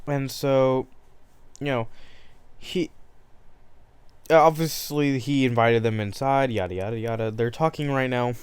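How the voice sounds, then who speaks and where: A man's voice: medium pitch, measured pace, long pauses, smooth, no room sound. A man improvising a fan story aloud, rambling with frequent ums, restarts and asides. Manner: rambling, full of ums and self-corrections.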